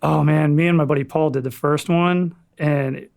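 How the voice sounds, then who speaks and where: A young man with a somewhat high, gravelly voice talks as a podcast guest. He rambles in a loose, relaxed way and swears offhandedly. He works as a firefighter paramedic and grew up in Florida. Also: normal speed. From Florida, USA. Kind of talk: casual chat.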